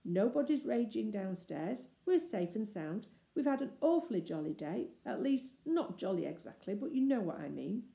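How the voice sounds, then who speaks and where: A woman's voice: mid-range voice, big pitch swings, moderate pace, gravelly, hardly any pauses, warm tone, roomy sound. A woman voicing an earnest, kindly young girl in a storybook, in a thoughtful, polite manner. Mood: earnest, relieved.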